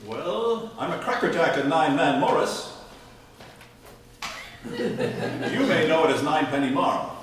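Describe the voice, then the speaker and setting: A man's voice: on the high side, lively intonation, slow pace, long pauses, far from the mic, noisy background. A man playing a pompous, indignant 1840s judge with grandiose, old-fashioned diction in a comic radio play. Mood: earnest, proud. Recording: roomy hall recording from afar, music behind.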